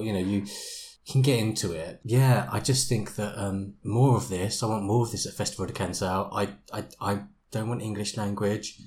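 Male co-host, mid-pitched, upbeat and emphatic. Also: a little mellow. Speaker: podcast co-host. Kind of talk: casual chat.

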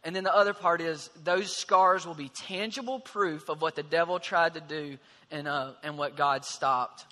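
A man in his twenties earnestly telling his story, pausing with fillers. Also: a little high, steady tone, bright, forceful tone, big-room sound. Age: 20s. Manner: measured, pauses with fillers. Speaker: husband sharing testimony.

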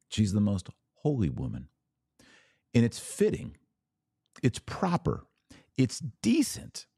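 A man with a low voice hosts a religious podcast in an informal, emphatic way. His pitch moves in lively swings as he stresses and repeats key phrases. The sound is close and dry. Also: dry recording.

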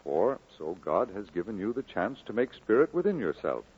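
An actor playing a kindly, earnest, encouraging minister in an old radio drama, exhorting in a solemn, measured way. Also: male voice, on the low side, very animated, husky, heavily acted. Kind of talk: 1940s radio drama acting. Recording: muffled old radio broadcast.

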